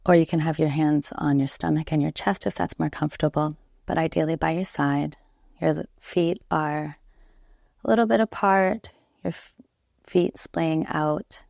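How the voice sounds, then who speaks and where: Low, creaky-voiced woman guiding meditation. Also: fairly even intonation, dry recording, clean studio sound. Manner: soothing, unhurried, gentle instruction with long pauses. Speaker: yoga nidra instructor. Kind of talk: guided relaxation meditation.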